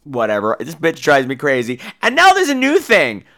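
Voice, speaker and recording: A man's voice: fairly high pitch, wildly swooping pitch, normal speed, bright, forceful tone. A man hosting a podcast, telling casual comic stories in a gossipy, catty, profane way with dramatic emphasis. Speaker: podcast host.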